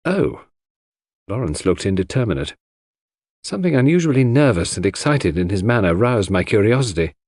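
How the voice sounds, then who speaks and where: A man's voice: fairly low pitch, animated, brisk, a little mellow, no echo, clean studio sound. A man giving a dramatic scripted narration of a classic mystery audiobook.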